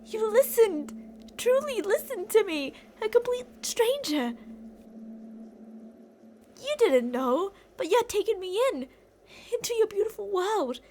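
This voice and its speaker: Woman acting a high-voiced obsessive young yandere. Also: very animated, unhurried, long pauses, a little mellow, a little hiss or hum, heavily acted. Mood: tender, infatuated. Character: obsessive, delusional yandere college-age woman addressing her captive. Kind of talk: ASMR roleplay, dramatic monologue.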